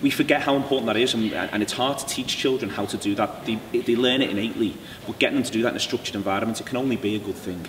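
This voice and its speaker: A man's voice: on the low side, rapid-fire, few pauses, fairly bright tone. A man hosting an interview, eager and rambling in his questions with fillers. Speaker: podcast host. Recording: distant mic in an echoey noisy room.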